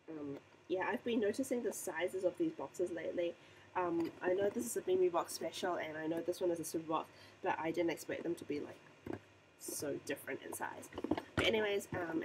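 A young woman with a croaky voice from a recent flu, chatting casually to a webcam in her bedroom with lots of ums. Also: lowish voice, slight background noise, poor audio. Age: young adult. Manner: chatty and rambling, many ums. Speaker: beauty vlogger. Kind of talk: casual unboxing vlog to camera. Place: small bedroom indoors. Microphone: webcam at desk distance.

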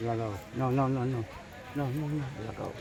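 A man's voice: medium pitch, a little fast, vocal fry, darkish tone. A man chatting casually outdoors, away from the microphone. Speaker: local angler. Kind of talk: casual chat. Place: outdoors by a pond, away from the mic. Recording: distant, very noisy outdoor pickup.

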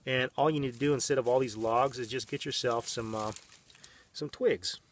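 A man's voice: medium pitch, fairly even intonation, moderate pace, a little hiss or hum. Rambling outdoor man on a distant camera mic. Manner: rambling, conversational, many fillers and restarts. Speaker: vlogger. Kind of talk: casual how-to demonstration. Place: outdoors in open desert. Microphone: camera mic, on-camera and off-frame.

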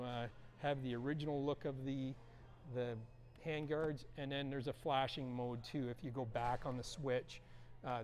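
A middle-aged man talks casually while showing features up close, his pitch sitting in an ordinary middle range. He is relaxed and chatty, with frequent filler sounds. He is recorded on a handheld action camera inside a large indoor showroom. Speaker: vlogger touring a snowmobile dealership. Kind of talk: casual walkthrough presenting.

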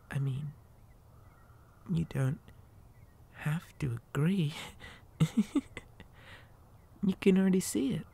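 A possessive living doll acted by a woman whispers in an ASMR horror roleplay. The voice is low and dark, with a creaky edge. It coaxes softly and hesitantly, with a gentle, childlike menace. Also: full character voice. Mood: eerily coy. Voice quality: breathy whisper. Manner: soft, coaxing, slow and hesitant.

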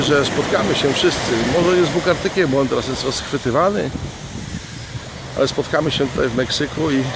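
A man in his fifties from Poland speaking affectionately and reflectively in an informal heartfelt message to camera, recorded outdoors at arm's length. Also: fairly high pitch. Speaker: friend sending a video birthday tribute. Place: outdoors on a sea beach. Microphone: phone or camera at arm's length. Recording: loud surf and wind noise.

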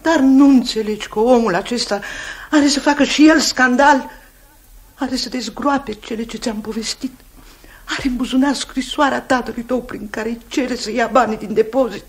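An actress in a 1950s radio drama playing an older aunt who talks stern and emotional, dropping into pleading whispers. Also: female voice, a little high, close to an everyday voice.

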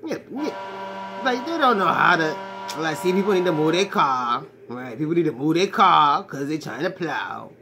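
A woman's voice: deep voice, lively intonation, a little slow, noisy background. Woman playing a brash crude fortyish man. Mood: rambling. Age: 40s. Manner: crude, rambling, swaggering street talk. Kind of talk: comedic character monologue to camera. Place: small cluttered bedroom indoors. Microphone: phone at arm's length.

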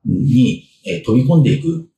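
A man in his sixties explaining as a guest expert in an informal interview, in halting fragments full of fillers. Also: mid-range voice, lively intonation, gravelly, mellow. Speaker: guest expert on samurai history. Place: small indoor room. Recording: roomy, distant mic, background guitar music. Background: guitar music.

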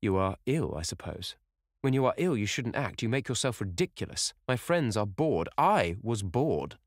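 A man's voice: lowish voice, big pitch swings, normal speed, no room sound, studio quality, voice pushed up. A man reading aloud, voicing a petulant young man who speaks in a cold, scornful way.

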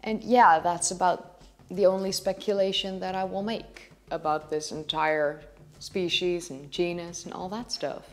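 A woman's voice: fairly low pitch, steady tone, measured pace. A young woman in her twenties with a Dutch accent, chattily explaining history to camera as a channel host in her living room. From Netherlands. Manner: chatty, explaining with hand gestures. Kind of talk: educational video talk to camera.

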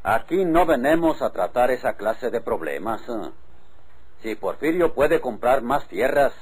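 A husky-voiced Mexican ranch spokesman in an old radio serial. He is authoritative and conciliatory, with a lively, swooping delivery. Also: on the high side, rough recording. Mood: firm. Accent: northern Mexican. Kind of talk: old-time radio drama acting.

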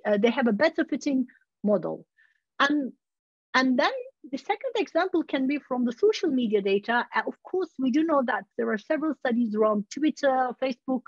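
A woman's voice: sits high, a little mellow, husky, no echo. Woman lecturing over video call. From Turkey. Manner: conversational, frequent "you know, like" and self-corrections. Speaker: university researcher giving a webinar. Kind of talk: academic webinar lecture. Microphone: laptop video call. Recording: muffled video-call audio.

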